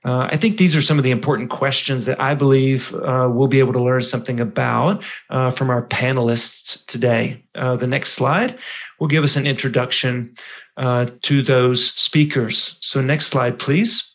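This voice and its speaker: Male moderator, mid pitch, measured academic delivery. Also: steady tone. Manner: measured, with filler uhs. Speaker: panel moderator.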